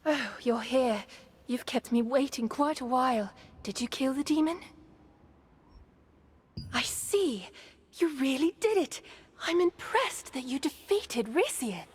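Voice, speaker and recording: A woman's voice: high voice, expressive, takes it slowly, slightly rough, exaggerated voice. A woman playing a scheming, condescending villainess in a video game cutscene, with a haughty, theatrical delivery. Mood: cool, composed. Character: scheming deceitful woman, condescending toward humans, turning menacing.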